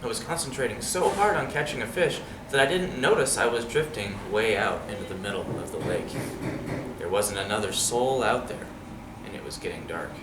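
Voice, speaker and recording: A man reading a picture book aloud to children in an expressive, conversational way, often turning to ask them questions. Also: on the low side, moderate pace. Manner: conversational, checks in with young listeners using questions. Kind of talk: expressive storybook read-aloud with casual asides. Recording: roomy, distant mic with clear background noise.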